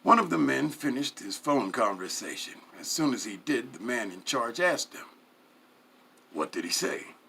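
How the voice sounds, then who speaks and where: A man giving a dramatic first-person narration, reading in a theatrical, deliberate way with pauses. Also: mid-range voice, expressive, gravelly, sharp tone, husky. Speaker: story reader.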